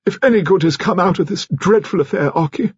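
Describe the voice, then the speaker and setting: A British man voicing a dignified, upper-class old lady in an audiobook, proud and resigned, with slow, emphatic delivery. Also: sits high, slightly rough, no echo, muffled recording, voice pushed up. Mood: resigned, gracious.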